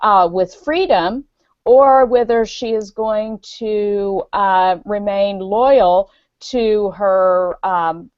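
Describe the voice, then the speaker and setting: A woman's voice: medium pitch, very slow, no room sound, muffled recording. A woman author talking casually on a podcast over an online call, explaining earnestly with fillers. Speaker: guest author. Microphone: video call.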